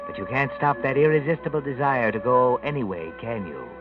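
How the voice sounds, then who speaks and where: An actor playing an ominous, all-knowing male narrator who taunts a murderer with deliberate insinuation, in 1940s radio drama style. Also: mid-range voice, lightly acted. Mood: menacing. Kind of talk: 1940s radio acting, second-person suspense narration. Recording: tinny vintage radio recording, music behind. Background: dramatic music.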